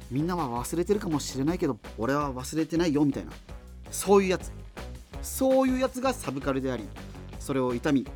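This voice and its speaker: An actor playing a rambling, opinionated, half-joking male host of a fictional subculture radio show. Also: fairly high pitch. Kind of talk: radio drama as late-night talk show. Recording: music behind the voice. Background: music.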